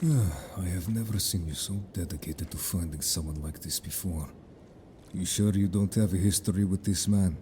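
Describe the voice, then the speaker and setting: A man playing a stern, blunt merfolk companion in an audio drama, formal and measured in speech, suspicious of humans. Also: deep voice, dark tone, a little hiss or hum, natural voice.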